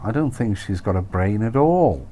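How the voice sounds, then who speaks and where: A man's voice: on the low side, expressive, moderate pace, warm tone, a little hiss or hum, voice pushed up, natural voice. A man voicing a peevish, demanding wife who complains in exasperation in an audiobook. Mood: exasperated. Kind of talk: audiobook character dialogue.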